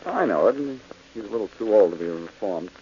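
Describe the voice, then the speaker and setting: An actor playing a cool, wisecracking reformed ex-crook in a 1940s radio drama, from a dull old broadcast. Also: male voice, on the low side, animated, brisk, dark tone, slight background noise. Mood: wry. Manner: dry wisecracking. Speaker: lead detective character.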